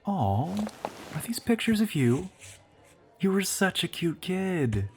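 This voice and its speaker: A man's voice: high-pitched, sing-song, takes it slowly, soft background music, exaggerated voice. A man playing an ancient, teasing vampire who poses as a human boyfriend in an audio roleplay, drawling and often slipping toward a whisper. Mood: charming, polite.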